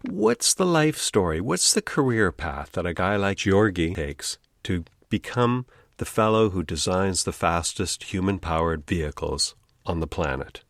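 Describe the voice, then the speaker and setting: A man with a fairly low voice narrates as a podcast host. His delivery is scripted and addressed to the listener, recorded close and dry with no room sound. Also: expressive, measured pace, vocal fry, a bit bright, no echo.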